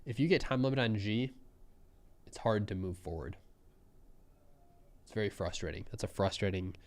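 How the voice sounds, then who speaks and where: A young man giving relaxed, musing live commentary on a programming contest, often trailing off mid-thought. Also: lowish voice, normal speed.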